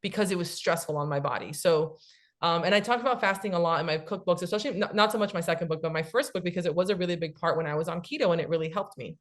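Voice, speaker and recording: A woman in her late thirties, a cookbook author, talking casually and explaining things quickly with many fillers. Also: fairly low pitch. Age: 30s. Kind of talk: casual podcast chat.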